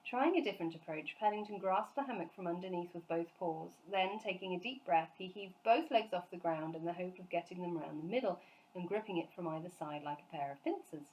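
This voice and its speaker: A woman storyteller reading a children's book aloud in an expressive narrating style. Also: on the low side, brisk, rough recording.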